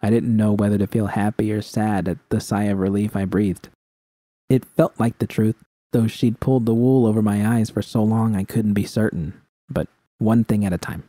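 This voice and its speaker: A man's voice: fairly low pitch, steady tone, moderate pace, warm tone, dry recording. A man reading a first-person dramatic story as its narrator. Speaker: narrator reading fan fiction.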